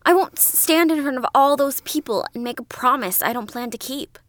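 Higher-voiced questioning young girl, played by an actress. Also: a little fast, dry recording, natural voice. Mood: defiant. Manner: hesitant but defiant questioning. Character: questioning, stubborn young daughter, independent-minded girl. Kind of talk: naturalistic audio drama acting.

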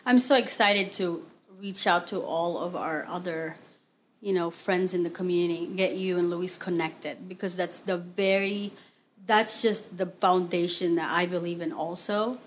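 A woman's voice: on the low side, fairly even intonation, unhurried, slight background noise. A woman hosting an interview, asking questions in a chatty, encouraging way. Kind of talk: conversational interview.

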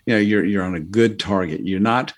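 Low, husky older male advisor. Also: fairly quick. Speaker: financial advisor, podcast guest. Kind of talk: casual conversational advice.

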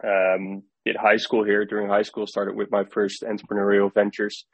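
A young man with a Dutch accent, a startup founder answering interview questions in a halting way, often repeating words as he thinks. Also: lowish voice, monotone, dry recording. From Netherlands.

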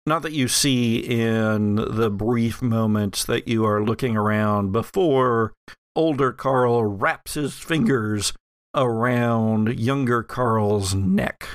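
Slow-paced man running a tabletop game. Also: mid-range voice, clear, resonant tone, no echo, studio quality. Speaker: game master. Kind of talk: narrating a tabletop role-playing game, conversational.

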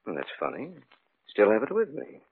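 A man's voice: on the low side, huge pitch swings, moderate pace, a little hiss or hum. An actor playing a cunning, greedy killer who narrates his own crime, menacing and mock-polite, in old radio drama style. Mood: coldly sly. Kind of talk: 1940s-50s radio drama acting. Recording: narrow-band old radio recording, music.

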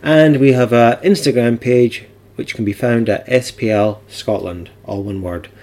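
A man's voice: mid-range voice, measured pace, a little mellow. A man hosting a podcast, talking informally in a wry, playful and chatty way. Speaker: podcast host.

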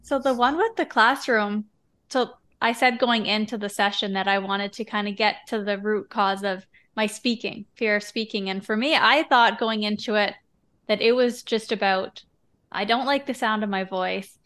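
Casting: female podcast host with a mid-range voice, talking candidly and informally in a relaxed conversation. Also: medium pitch, moderate pace. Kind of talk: casual conversational interview.

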